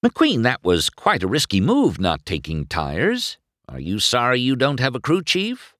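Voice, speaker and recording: A man's voice: medium pitch, huge pitch swings, a little slow, fairly bright tone, no room sound, studio quality, voice pushed up. A man playing an eager female reporter giving a live on-camera race report in a storybook. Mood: brisk, eager.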